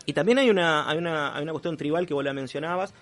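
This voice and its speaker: A man with a Rioplatense accent in a casual radio conversation as a columnist, analytical and halting, using formal vocabulary. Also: a little high, fairly bright tone. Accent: Rioplatense Spanish. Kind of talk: casual on-air conversation about comics and philosophy.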